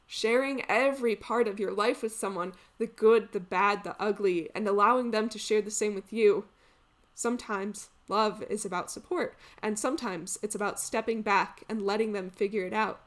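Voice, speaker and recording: A woman playing an earnest, reflective character in a contemporary dramatic monologue, speaking with deliberate emphasis. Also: medium pitch, natural voice. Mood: earnest, tender. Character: earnest, reflective woman musing on life and love.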